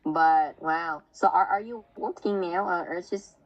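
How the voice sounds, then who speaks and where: A woman's voice: lowish voice, a little slow, dull audio. A woman interviewing someone in a casual, hesitant way, with many restarts and fillers. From Vietnam. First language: Vietnamese.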